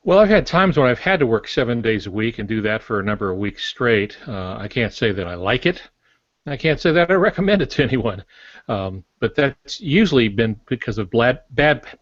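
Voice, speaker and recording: An older man co-hosting a casual podcast, speaking in a measured way with wry humour. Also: on the low side, no room sound, dull audio. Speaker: podcast co-host and working writer.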